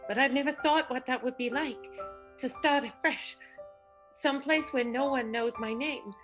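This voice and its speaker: A woman's voice: high-pitched, normal speed, over music, close to an everyday voice, rough recording. A woman playing a wistful, romantic vaudeville star in an improvised radio soap, speaking dreamily and dramatically.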